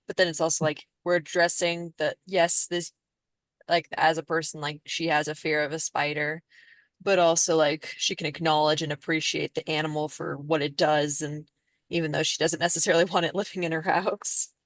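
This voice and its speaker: A young woman with a low-pitched voice, chatting on a student podcast. Her talk is casual and rambling, full of "like", recorded dry and close. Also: level tone, normal speed, dry recording. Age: young adult. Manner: rambling, peppered with "like". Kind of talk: casual chat.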